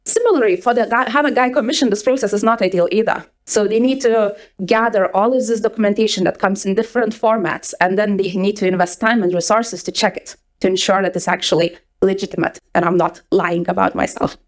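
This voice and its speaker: A young woman from Lithuania with a Lithuanian accent, giving a lively conference talk with a personal story to a live audience. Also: medium pitch, brisk, few pauses. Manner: energetic, chatty, repeats words for emphasis. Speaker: conference speaker. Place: conference hall before an audience.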